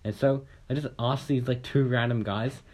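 A man's voice: medium pitch, fairly even intonation, moderate pace, darkish tone. A young man from Australia telling a long, rambling story in casual chat on a podcast, swearing casually and acting out what people said.

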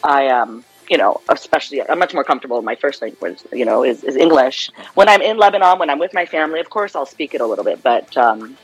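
A woman's voice: very deep voice, expressive, quick pace, hardly any pauses, husky, no room sound, faint music behind, rough recording. A woman from Los Angeles with an American accent, a clinical psychologist chatting as a radio guest and laughing easily. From Los Angeles, United States. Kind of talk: casual radio interview.

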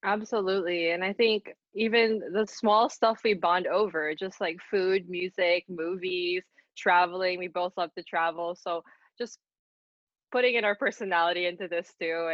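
A woman's voice: lowish voice, fairly even intonation, normal speed, fairly bright tone, no room sound, dull audio. A woman in her twenties from the Philippines, co-hosting a podcast in casual, earnest chat. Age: 20s. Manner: earnest, upbeat, many fillers. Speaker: podcast co-host.

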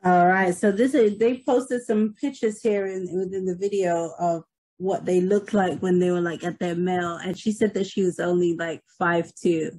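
A husky woman with a lowish voice co-hosts a casual reaction chat. She talks loosely to her viewers and often leaves a sentence half-finished. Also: fairly even intonation, moderate pace, mellow, rough recording. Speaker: co-host of a reaction show.

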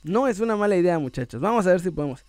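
A Mexican man with a high voice hosts an online sports show in a casual, chatty style. He is playful and joking, drawing out words for emphasis. The sound is dry and close. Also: lively intonation, very fast, darkish tone, no room sound. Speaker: host of a sports news video channel. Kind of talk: casual chat to viewers, reading out messages and shout-outs.

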